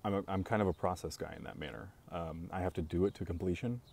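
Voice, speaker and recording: Deep calm monotone man. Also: vocal fry, a little hiss or hum. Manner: speaks slowly and reassuringly to viewers. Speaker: live stream host. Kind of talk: casual live stream chat.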